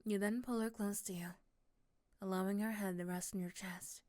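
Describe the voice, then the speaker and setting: A woman softly narrating a scripted second-person ASMR story, hushed and often close to a whisper. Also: mid-range voice, steady tone, normal speed.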